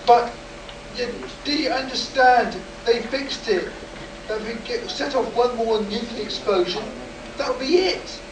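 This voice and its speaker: A performer in a live radio play as a desperate, overwrought male test pilot, urgent and halting. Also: mid-range voice, close to an everyday voice. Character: desperate test pilot man warning of doom. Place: convention hall stage, live performance. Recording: roomy distant live stage recording, noisy.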